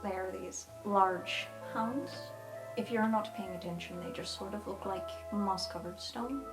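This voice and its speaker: A woman voicing a cryptic swamp-wandering guard in a fantasy game, with halting delivery and old-fashioned wording. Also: mid-range voice, lightly acted, poor audio. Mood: grave, matter-of-fact. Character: cryptic, formal swamp-wandering guard giving grave warnings. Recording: clear music under the voice.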